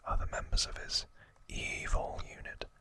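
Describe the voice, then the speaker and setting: A man hosting ASMR in a breathy, unvoiced whisper, reading and commenting in a hushed, deliberate way. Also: no room sound. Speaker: ASMR host.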